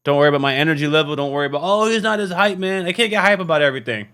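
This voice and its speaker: A man's voice: a little high, lively intonation, very fast, fairly bright tone, clean tone. A man hosting a reaction video, talking casually and candidly in a rambling, tired way. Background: music.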